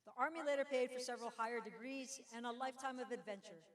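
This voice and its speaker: A middle-aged woman delivers a prepared, uplifting address to graduating students. Her slightly high voice echoes through a large room. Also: quick pace. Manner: earnest, uplifting. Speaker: guest speaker, Army veteran. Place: school auditorium. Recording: echoey hall.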